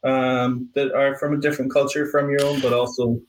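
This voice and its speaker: A man's voice: medium pitch, level tone, brisk, slight background noise, rough recording. A young man with an Irish accent, a business owner answering interview questions in a reflective way, with many fillers and restarts. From Ireland. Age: young adult. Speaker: guest, painting business owner. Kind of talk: podcast interview.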